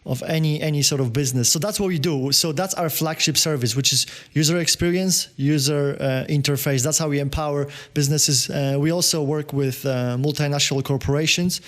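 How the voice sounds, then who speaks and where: A man from Poland, answering interview questions as a podcast guest in a halting way, full of fillers and restarts. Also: on the high side. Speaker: podcast guest, business owner.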